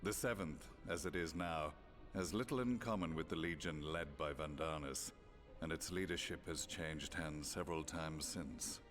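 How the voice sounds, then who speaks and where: An actor playing a grave, remorseful middle-aged former imperial commander in a game, speaking in solemn, formal, measured phrases. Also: male voice, very deep voice, fairly bright tone, faint music behind. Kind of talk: video game cutscene voice acting.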